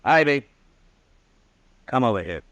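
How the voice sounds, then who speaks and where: An actor playing a stern, weary Old West marshal in a 1950s radio western, speaking tersely and with authority. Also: male voice, mid-range voice, sing-song, a little slow, a bit bright, no echo. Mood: commanding.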